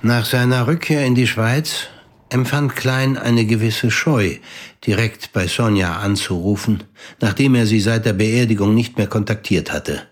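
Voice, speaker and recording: A man narrating a scripted audio drama in a measured, matter-of-fact way, with a husky voice. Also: fairly low pitch. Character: matter-of-fact male narrator of a crime story.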